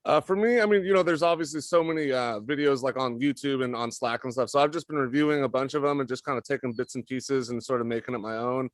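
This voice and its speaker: Quick-talking man, dry close sound. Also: mid-range voice, dry recording. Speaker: sales trainee. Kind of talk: casual explanation in a meeting.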